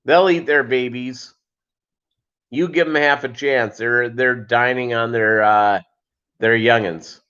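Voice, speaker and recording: A man hosting a casual livestream, chatting with viewers in a halting way and wandering between asides. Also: mid-range voice, steady tone, a bit bright, smooth. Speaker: livestream host. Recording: dull lo-fi livestream audio.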